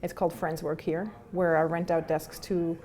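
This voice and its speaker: A woman's voice: fairly low pitch, little pitch movement, normal speed, warm tone. A middle-aged woman from Switzerland speaking earnestly and with enthusiasm in a casual conversation. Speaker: entrepreneur. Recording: faint room ambience.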